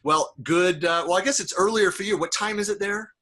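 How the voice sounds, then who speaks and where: A man's voice: high voice, brisk, sharp tone. A man hosting a casual interview over a video call, chatty and rambling, with frequent restarts. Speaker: host.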